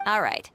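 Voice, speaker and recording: A woman's voice: pushed and bright, close to an everyday voice. A woman playing a proud, determined young fashion designer in a video game, composed, assertive and dry. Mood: reflective, resolute. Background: background music.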